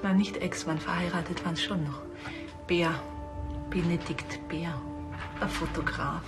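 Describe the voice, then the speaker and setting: An actress with a Bavarian accent playing a chatty small-town beauty salon owner in a TV crime comedy. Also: female voice, on the low side, fairly even intonation, measured pace, over music. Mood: matter-of-fact.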